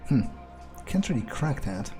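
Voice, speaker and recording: A man's voice: medium pitch, very animated, measured pace, music in the background. A man giving casual in-character gameplay commentary in a dry, understated, musing way. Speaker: gamer playing in character.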